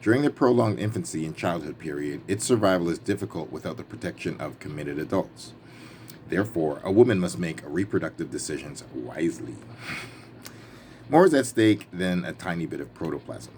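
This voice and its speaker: Man, very low voice, book reading, emphatic, playful, casual wry asides, noisy ambient recording. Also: lively intonation, fairly quick, darkish tone. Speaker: reader and commentator. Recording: clearly noisy background ambience.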